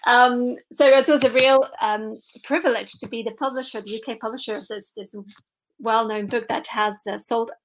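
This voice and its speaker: A woman's voice: fairly high pitch, steady tone, normal speed, a little hiss or hum, poor audio. A woman from Belgium, a children's book publisher, talking on a video call with many restarts and frequent laughs. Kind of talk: remote interview.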